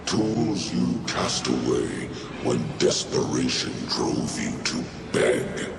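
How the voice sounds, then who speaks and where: A massive, brutal alien warlord from a video game. The voice is extremely low and heavily gravelled, slow and imperious, dripping with contempt. It echoes from a distance under loud noise. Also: male voice, big pitch swings, exaggerated voice. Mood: scornful anger. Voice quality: very deep, gravelly. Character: huge brutal alien warlord, a menacing phantom, proud and scornful. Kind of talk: dramatic video game voice acting.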